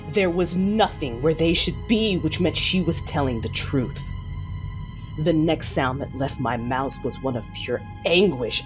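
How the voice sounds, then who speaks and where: A fierce warrior mother played by a woman tells her own tale in a space-opera audio drama. Her delivery is measured, solemn and dramatic, carrying grief and vengeance. Music plays under the voice. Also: low-pitched. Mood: anguished. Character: fierce grieving mother, vengeful dark-side warrior narrating her story. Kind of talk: first-person audio drama narration. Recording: voice over a music bed.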